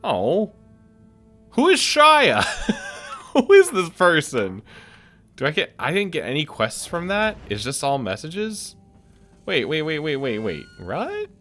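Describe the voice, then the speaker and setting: Animated, bright-voiced man commentating a game. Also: on the high side, takes it slowly, pauses often, soft background music. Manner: chatty, playful, thinking aloud. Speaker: gaming video host. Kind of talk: casual game commentary, reacting aloud.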